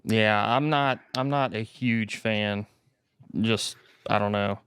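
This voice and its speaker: A man's voice: lowish voice, takes it slowly, fairly bright tone, smooth, no room sound, studio quality. A man co-hosting a podcast in an easygoing, casual, self-deprecating chat. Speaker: podcast co-host.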